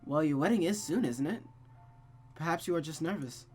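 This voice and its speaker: A woman voicing a gentle female friend in a visual novel, speaking tenderly and frankly in a measured way. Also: low-pitched, husky, faint music behind. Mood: reassuring.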